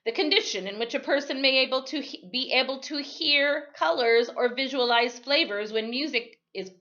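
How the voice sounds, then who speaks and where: A woman's voice: high-pitched, sharp tone, voice pushed up. Woman reading as a formal quiz show host, muffled. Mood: formal, deliberate. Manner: slow, deliberate announcing.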